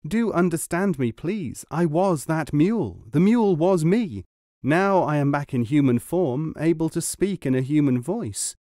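A man's voice: on the high side, normal speed, hardly any pauses, mellow, no echo, studio quality, pitched above the performer's own voice, natural voice. A man voicing a sly young noble student in a storybook, speaking with earnest, pious politeness. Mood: pleading. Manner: earnest, pleading, formally polite. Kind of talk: storybook character reading.

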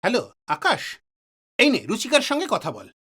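Male doctor, middle-aged, knowing and reassuring played by an actor; lively intonation, audio drama. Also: extremely high pitch, brisk, a little hiss or hum, full character voice. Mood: friendly. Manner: explanatory, authoritative, mixes in English words.

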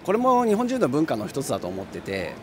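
A young man talks fast with lively pitch, earnestly explaining his view. Clear street noise fills the background. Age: young adult. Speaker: interviewee. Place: city street outdoors. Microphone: handheld mic held by interviewer.

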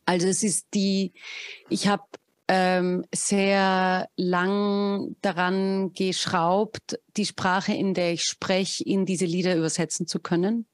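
A woman's voice: lowish voice, little pitch movement, slow, clear, resonant tone, no room sound. A woman in her thirties, a musician guest on a podcast, speaking thoughtfully and searching for words over a video call. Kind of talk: casual interview chat. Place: home room with bookshelves, video call.

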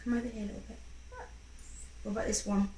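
A woman in her thirties reading a picture book to a young child in an expressive, encouraging way, pausing to ask questions. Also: medium pitch. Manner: expressive, encouraging, acting out sound effects. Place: small room at home, indoors. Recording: roomy home recording with faint background noise.

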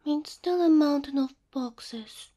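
A woman performing an ASMR roleplay as a gentle, doting cow-girl girlfriend, speaking softly and tenderly into a close microphone. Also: high voice. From Slavic Eastern Europe. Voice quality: soft, breathy. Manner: tender, slow, coaxing.

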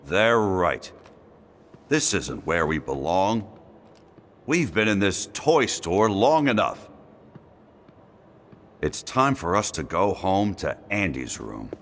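A man's voice: low-pitched, big pitch swings, slow, pauses often, a bit bright, a little hiss or hum, exaggerated voice. A man playing a heroic toy space ranger in a video game, stern and commanding with firm, no-nonsense statements. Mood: firm, defiant. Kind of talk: animated video game dialogue.

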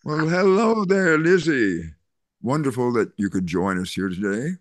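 An older man reading a kindly small-town male pastor in an online play reading, soothing and measured, over a video call. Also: mid-range voice, sing-song, no echo, studio quality. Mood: calm. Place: home room on a video call. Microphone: video call computer mic.

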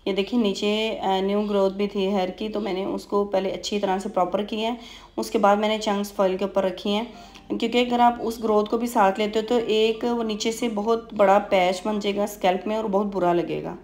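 A woman beautician explaining a technique in an instructional voice-over, hesitant, with frequent pauses. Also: medium pitch, flat delivery, darkish tone. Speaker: beautician giving a hair tutorial.

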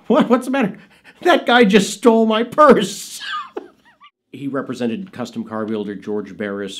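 Male, 50s, American, lowish voice, chatty raconteur in a casual studio interview. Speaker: interviewee, actor and filmmaker recalling his father. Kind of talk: casual anecdotal storytelling in an interview. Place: small indoor studio with dark curtains.

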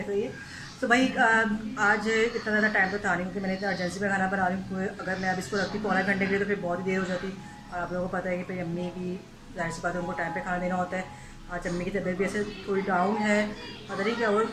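A young woman chatting casually to her viewers in a vlog, often slipping English words into her talk. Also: on the low side. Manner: chatty, mixes English into Urdu, keeps addressing viewers. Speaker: vlogger. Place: shopping mall, then home kitchen. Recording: noisy handheld vlog recording.

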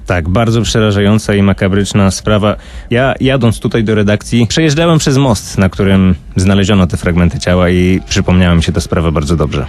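A man's voice: sits low, brisk, a little mellow. A man co-hosting a radio show, telling true-crime cases conversationally in a studio.

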